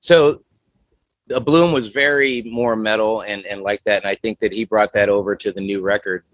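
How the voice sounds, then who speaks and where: Casting: man in his forties, lowish voice, loose rambling interview talk, dry close mic. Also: steady tone, moderate pace, a bit bright, dry recording, low-quality recording. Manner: rambling, many "you know" fillers. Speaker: guest musician. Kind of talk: casual interview chat.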